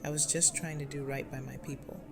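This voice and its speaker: A woman's voice: low voice, level tone, a little fast. A woman playing an earnest, resolute community leader seeking reconciliation in a fan audio drama. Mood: contrite, soft. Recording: music under the voice.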